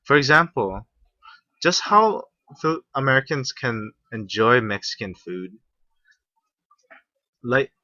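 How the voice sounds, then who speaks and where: A young man from Hawaii reading a class presentation, halting at times with restarts. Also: medium pitch, animated, bright, forceful tone, no echo. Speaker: student presenter. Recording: muffled, slightly lo-fi.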